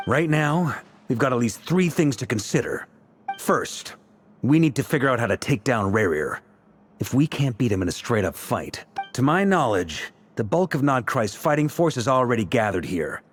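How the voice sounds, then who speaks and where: A man playing a confident, laid-back military leader in a video game, speaking scripted lines in a measured, reassuring and deliberate way. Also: medium pitch, big pitch swings, a little hiss or hum, lightly acted. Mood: thoughtful.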